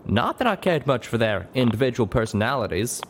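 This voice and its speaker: A man's voice: medium pitch, big pitch swings, fairly quick, slight background noise, pitched above the performer's own voice, lightly acted. A man voicing a folksy, wry, suspicious male cowboy pegasus with a drawl, half-whispered, for an audio story.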